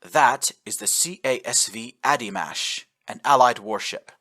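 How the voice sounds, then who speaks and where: A calm ship's voice, read by a male narrator, even and matter-of-fact. The tone stays bright and clear while it reports in a storybook reading. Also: medium pitch, a little slow. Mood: factual.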